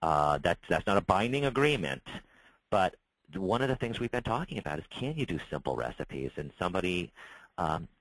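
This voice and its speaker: A man's voice: deep voice, very animated, normal speed, a bit bright, dry recording, poor audio. A middle-aged man, a trade association spokesman, answering webinar questions in a conversational way with many restarts. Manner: conversational, frequent restarts and repeated words.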